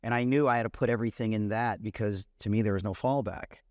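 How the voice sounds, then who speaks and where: A low-voiced man in his thirties chats as an interview guest, relaxed and easygoing. He often says "you know" and "man". The recording is dry and close, with no room sound. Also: fast talker, no room sound. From Puerto Rican family, raised in California. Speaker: guest, celebrity content creator. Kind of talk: casual interview chat.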